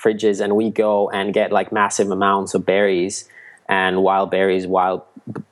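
A man, a guest in a podcast interview, explaining things in a steady way. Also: low voice, measured pace. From Finland. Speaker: guest, mushroom food entrepreneur. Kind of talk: casual interview talk.

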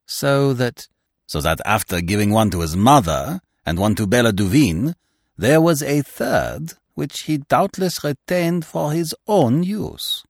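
A man's voice: mid-range voice, animated, a little slow, no room sound, pitched above the performer's own voice. Shrewd Belgian detective done by a male narrator, courteous, precise, self-assured, varied intonation, close dry sound. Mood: measured.

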